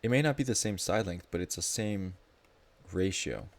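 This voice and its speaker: A man's voice: low voice, moderate pace, a little hiss or hum. A man tutoring math live in a casual, informal way, thinking aloud as he explains.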